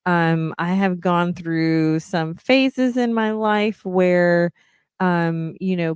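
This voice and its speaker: A woman's voice: fairly low pitch, darkish tone, clear, resonant tone, dry recording, clean studio sound. A woman hosting her own podcast, talking earnestly and encouragingly to young musicians, with frequent ums. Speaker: podcast host, singer. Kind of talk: reflective solo podcast talk.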